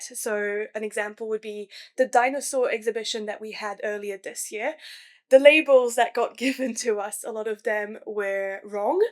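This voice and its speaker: A young woman from New Caledonia, a museum science communicator chatting enthusiastically about her career, with frequent fillers. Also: on the high side. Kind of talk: casual career talk.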